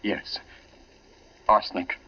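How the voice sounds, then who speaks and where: An actor playing an anxious, secretive husband in an earnest, urgent 1940s radio drama style. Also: male voice, slow pace, music in the background, natural voice. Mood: troubled, grave. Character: anxious husband secretly investigating his uncle's poisoning. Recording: dull, lo-fi 1940s radio recording.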